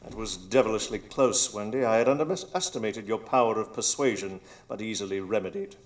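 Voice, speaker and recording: A man's voice: on the low side, brisk, lightly acted. A man playing a suave, mockingly polite old pirate captain villain in a live radio drama. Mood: persuasive.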